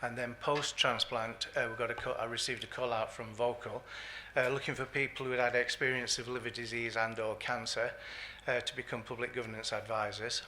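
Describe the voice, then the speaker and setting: A man gives a conference talk, pausing often with ums and uhs. His voice is bright and forceful at a middle pitch. Faint room noise sits behind him. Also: level tone. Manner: hesitant, many ums and uhs. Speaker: public governance advisor, patient. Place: conference hall.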